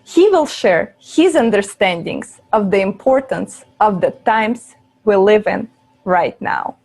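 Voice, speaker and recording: A woman moderating an online conference, reading polite, deliberate scripted announcements. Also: mid-range voice, expressive, a little mellow.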